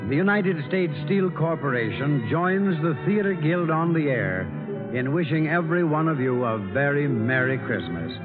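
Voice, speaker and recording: A thin old radio recording with music behind a male announcer. He reads formally in 1940s style, in a slightly high, measured voice. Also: lively intonation, narrow, tinny audio.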